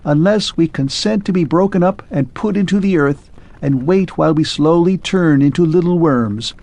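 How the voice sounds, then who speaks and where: A man voicing a melancholy clockwork man who speaks in a shrill, faint, pleading way. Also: normal speed, darkish tone. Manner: pleading, wistful, grows faint and distant.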